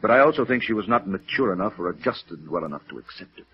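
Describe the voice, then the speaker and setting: An actor playing a genteel, evasive company president in a 1950s radio drama, formal and hesitant, on a vintage recording. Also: male voice, low voice, expressive, fairly quick, breathy edge, lo-fi sound, exaggerated voice. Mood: wistful, hesitant. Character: genteel, evasive, wistful male brokerage president.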